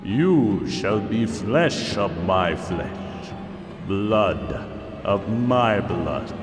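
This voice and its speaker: An actor playing a commanding, menacing undead vampire count, intoning broken phrases in a radio horror drama. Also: male voice, lowish voice, lively intonation, drawn-out words. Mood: menacing, solemn. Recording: long reverb, loud music underneath.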